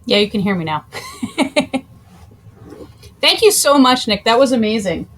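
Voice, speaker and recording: A woman's voice: on the high side, lively intonation, moderate pace, a bit bright, a little hiss or hum. A woman moderating an online panel, chatting informally and encouragingly with the presenter and viewers.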